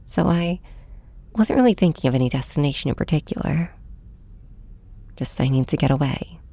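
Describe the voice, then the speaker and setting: A woman playing a heartbroken young superhero in an intimate audio drama, speaking softly and haltingly with a breathy voice. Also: deep voice, animated, exaggerated voice. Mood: hurt, subdued. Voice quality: soft, breathy. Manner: hesitant, halting, hushed. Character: heartbroken young flying superhero confiding in and snapping at nemesis. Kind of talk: first-person audio drama narration, ASMR hybrid.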